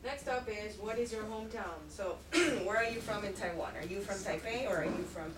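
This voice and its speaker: A woman teaching an English conversation class, talking slowly and clearly. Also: medium pitch, crowd noise. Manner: slow, clear teacher talk. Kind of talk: classroom instruction. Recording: echoey classroom from far off.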